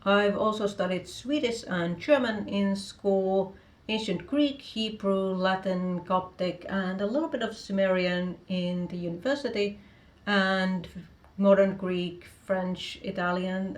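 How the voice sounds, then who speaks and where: A Finnish-accented woman, a native Finnish speaker, works through a list of vlog questions. Her pitch sits in a middle range for a woman, and she keeps her answers short and matter-of-fact. The room around her has a noticeable echo. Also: takes it slowly. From Finland. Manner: reads each question, then answers in short plain sentences. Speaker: author vlogger. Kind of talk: casual answers to a video tag. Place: home room with bookshelves.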